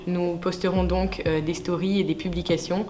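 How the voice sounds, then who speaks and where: A woman's voice: lowish voice, flat delivery, normal speed, warm tone, slight background noise. A young woman from France presenting a student project, speaking steadily with frequent fillers. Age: young adult. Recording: music playing behind.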